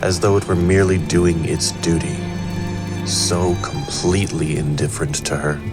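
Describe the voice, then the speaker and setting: A man playing a solemn storyteller, narrating a fantasy drama in a measured, portentous manner over music. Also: deep voice, level tone, natural voice. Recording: prominent music under the voice.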